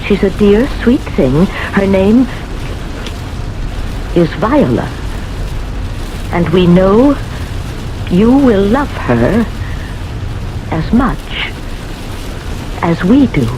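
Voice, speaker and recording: An actress playing a lonely, gentle, nervous old lady in a radio drama, with a hesitant, fluttery delivery. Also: medium pitch, big pitch swings, drawn-out words, long pauses, background noise. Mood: flustered, wondering. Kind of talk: 1970s radio drama acting.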